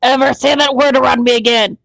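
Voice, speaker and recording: A woman's voice: high-pitched, steady tone, fairly quick, creaky, a bit bright, dry recording, low-quality recording. A woman chatting casually and bluntly, with plenty of swearing. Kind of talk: casual chat.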